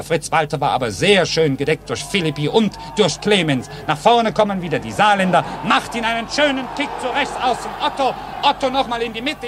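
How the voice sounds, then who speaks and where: A man giving detailed live play-by-play football commentary on 1950s radio, in short clipped phrases from a stadium. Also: sits high. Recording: noisy old 1950s radio recording.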